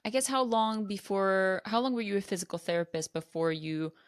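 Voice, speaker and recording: A woman's voice: mid-range voice, a little fast, clean tone, dry recording, clean studio sound. A woman hosting an interview podcast, chatting casually and encouraging her guest. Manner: encouraging, short affirmations. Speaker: podcast host.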